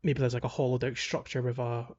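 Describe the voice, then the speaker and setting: A man with a medium-pitched voice talks casually as a podcast host. He explains and chats in an informal gaming-podcast style. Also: little pitch movement, quick pace, darkish tone, highs cut off.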